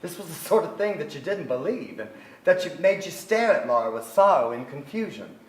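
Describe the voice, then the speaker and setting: A man's voice: fairly high pitch, big pitch swings, fairly quick. An actor playing a brooding, restless son who recalls his family in a theatrical staged reading. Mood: wistful, remembering. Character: brooding, restless adult son, narrator of memories. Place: theatre stage before an audience. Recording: echoey theatre stage, some noise.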